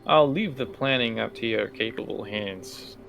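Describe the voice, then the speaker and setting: A man's voice: medium pitch, normal speed, vocal fry, fairly bright tone, poor audio. A man acting as game master, improvising in character for a tabletop role-playing game.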